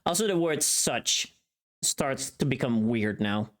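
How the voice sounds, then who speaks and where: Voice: mid-range voice, expressive, slow. A streamer chatting casually on a livestream, thinking aloud and critiquing their own voice takes. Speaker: streamer recording voice lines.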